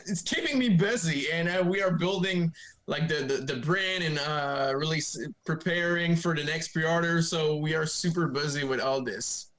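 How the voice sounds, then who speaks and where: A young man from Quebec with a French-Canadian accent, speaking English as a second language, chatting haltingly in a remote podcast interview. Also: on the high side, a bit bright. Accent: Québécois French. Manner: halting, many fillers and restarts. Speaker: guest comic writer. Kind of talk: casual interview chat.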